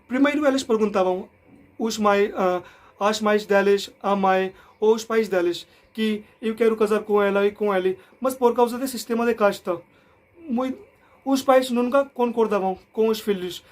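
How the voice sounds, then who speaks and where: A young man from India speaking Portuguese as a learner. He explains things casually to the camera, often restarting and correcting himself and checking in with "entende?". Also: high voice. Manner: halting, frequent restarts and self-corrections. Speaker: vlogger.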